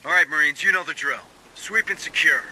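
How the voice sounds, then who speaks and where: A gruff, commanding Marine sergeant. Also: male voice, a little high, brisk, bright, forceful tone, a little hiss or hum, rough recording. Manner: terse, commanding. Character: gruff, protective Marine sergeant, seasoned squad leader. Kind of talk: scripted game military dialogue.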